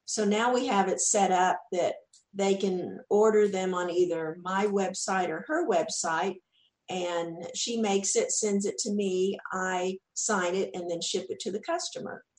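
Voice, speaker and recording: A woman's voice: medium pitch, fairly even intonation, unhurried, darkish tone, reverberant, poor audio. A woman from Texas with a Southern accent, chatting as an actress guest in an interview and often repeating words as she starts a thought. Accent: Southern US, Texan. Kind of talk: casual interview chat.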